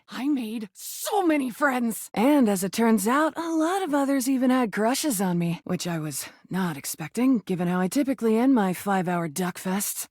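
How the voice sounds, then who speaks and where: A woman voicing a brash, crude, flirty rubber duck in a video game, with a husky voice and a sardonic, slangy, sometimes defensive delivery. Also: medium pitch, darkish tone, no echo, studio quality, close to an everyday voice. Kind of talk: video game character acting.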